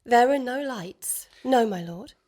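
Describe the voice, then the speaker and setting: A woman's voice: a little high, animated, measured pace, darkish tone. An actress playing a nervous, naive young noblewoman in a period comedy radio play, speaking formally and hesitantly. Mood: timid.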